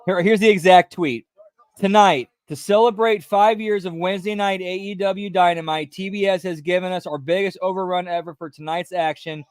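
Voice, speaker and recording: A high-pitched man with a smooth, clean voice keeps up loose, easygoing chatter over a live stream. He often ends his lines with "pal." The sound is close-miked and dry, with no room sound. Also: fairly even intonation, normal speed, no room sound. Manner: laid-back, tacks "pal" onto his remarks. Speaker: host of a wrestling watch-along stream. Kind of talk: casual live commentary over a broadcast.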